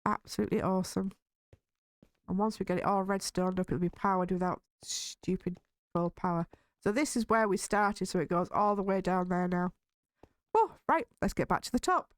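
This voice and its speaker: Chatty woman hosting a game playthrough, slightly hoarse, mid-pitched, dry close recording. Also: normal speed, no room sound. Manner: chatty and cheerful, thinking aloud. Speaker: gaming video host. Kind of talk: casual let's-play commentary.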